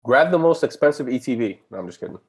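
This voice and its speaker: A man's voice: mid-range voice, animated, quick pace, husky. A man co-hosting a live stream, chatting casually, reading viewer questions and often stammering and restarting sentences.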